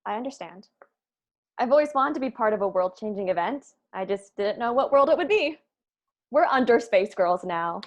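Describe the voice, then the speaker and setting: A woman's voice: medium pitch, expressive, normal speed, dull audio, close to an everyday voice. A woman playing a brainy, precise young female planner at a comedy table read. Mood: sincere.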